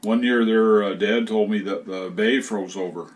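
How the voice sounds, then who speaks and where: A man, recalling things casually and haltingly. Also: lowish voice, fairly even intonation. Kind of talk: casual recollection.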